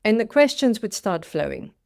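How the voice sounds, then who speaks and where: A woman's voice: medium pitch, sing-song, moderate pace, gravelly, a little mellow. South African woman, 60s, career coach delivering a dramatic scripted personal story. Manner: dramatic storytelling with acted-out quotes.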